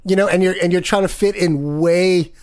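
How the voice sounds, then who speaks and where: A man's voice: sits high, fairly even intonation, normal speed, a little mellow. A man hosting a podcast, teasing his guest and stumbling over his words. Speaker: podcast host. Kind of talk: casual chat.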